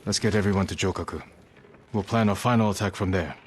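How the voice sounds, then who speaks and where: A low-voiced, steadfast samurai lord played by a male actor speaks in short, measured, serious phrases. It is dramatic game cutscene acting, with noticeable ambient noise around him. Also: lightly acted. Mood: calm, commanding. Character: steadfast, grave young samurai lord leading a war.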